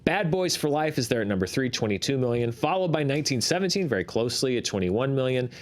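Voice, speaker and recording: A man's voice: mid-range voice, sing-song, fast. A man hosting a box office show in a conversational, wry and enthusiastic way.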